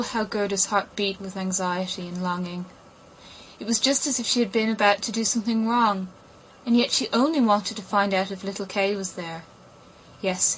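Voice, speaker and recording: A woman's voice: medium pitch, poor audio. Woman narrating fairy tales over background noise. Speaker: audiobook narrator. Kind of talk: scripted fairy tale narration.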